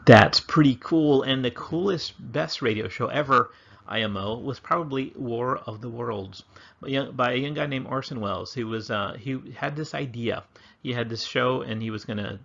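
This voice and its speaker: A man's voice: medium pitch, gravelly, a bit bright, dull audio. A man teaching history in an informal, chatty, enthusiastic online lesson style with slangy phrasing. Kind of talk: casual explanatory online lesson.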